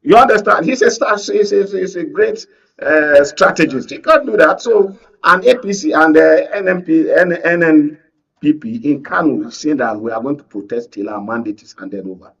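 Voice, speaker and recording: Male Nigerian political analyst, husky voice, insistent panel debater, dull off-mic studio sound. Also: fairly high pitch, normal speed, a bit bright, far from the mic. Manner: insistent, holds the floor, repeats phrases. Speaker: panel guest, media analyst. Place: radio and TV studio.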